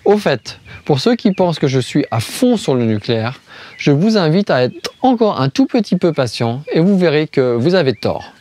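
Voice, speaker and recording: A man's voice: on the high side, sing-song, normal speed, a little mellow. A man from France hosting a scripted explainer video, arguing step by step with rhetorical questions and ironic asides. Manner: poses questions then answers them. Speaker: video host.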